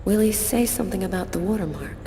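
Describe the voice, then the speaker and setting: An actress playing a composed, probing police detective in a video game drama, businesslike and measured. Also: female voice, medium pitch, lively intonation, dark tone, slight background noise, natural voice. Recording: music under the voice.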